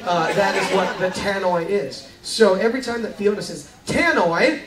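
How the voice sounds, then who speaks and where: High-pitched man hosting, echoey. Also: moderate pace, a crowd in the background. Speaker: host introducing an amateur show. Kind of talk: informal stage introduction to audience. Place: live show on cruise ship deck. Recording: echoey, distant mic, faint noise.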